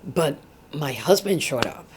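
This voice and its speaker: A woman's voice: low-pitched, animated, a little slow. A Puerto Rican woman in her thirties, a native Spanish speaker with a Puerto Rican accent, telling her story in an oral history interview with many fillers and easy laughter. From Puerto Rico. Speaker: interviewee, social worker. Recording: faint background noise.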